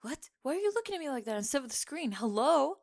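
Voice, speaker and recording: A young woman playing a teasing, sarcastic tomboy gamer friend in an acted ASMR roleplay, with expressive sighs and laughter. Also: high-pitched, fast. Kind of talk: acted ASMR roleplay, one-sided conversation.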